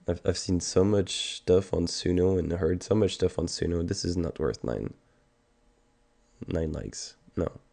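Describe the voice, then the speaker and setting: Canadian guy in his 30s, sick and stuffy, super low rumbly voice, rambling on stream. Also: warm tone. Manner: rambling and hesitant, many ums and restarts. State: sick with a stuffed nose and sore throat. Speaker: music streamer. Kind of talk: casual livestream chat.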